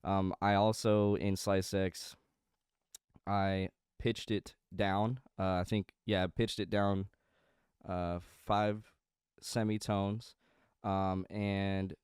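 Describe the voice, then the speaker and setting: A man's voice: deep voice, little pitch movement, slow pace, darkish tone, smooth, no room sound, studio quality. Congested, slightly nasal young man. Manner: rambling with many fillers and restarts. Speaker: music producer. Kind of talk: casual studio walkthrough.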